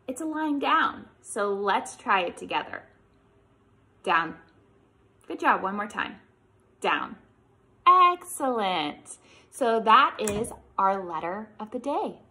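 An American woman in her thirties leading a children's storytime, with an exaggerated, encouraging way of talking to young kids. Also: mid-range voice. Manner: animated, encouraging, exaggerated child-directed delivery with questions. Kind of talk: preschool lesson and picture-book read-aloud.

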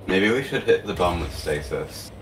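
A young man with a low voice chats casually during co-op gameplay. He sounds a little away from the mic, in a noisy recording. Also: lively intonation, moderate pace, recorded from a distance. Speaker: gamer playing co-op on a shared controller.

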